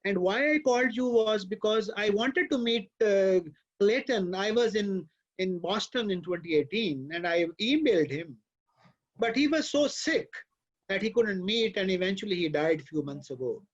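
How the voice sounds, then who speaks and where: A man hosting an online lecture session, talking in a halting way with many fillers and restarts over a video call. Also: high voice, expressive, lo-fi sound. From Pakistan. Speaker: host and professor.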